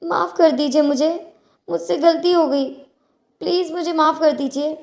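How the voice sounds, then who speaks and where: A woman's voice: high voice, fairly even intonation, moderate pace, creaky, darkish tone, soft background music. A woman voicing an anxious young daughter-in-law in a moral story. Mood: pleading. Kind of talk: dramatized moral story dialogue.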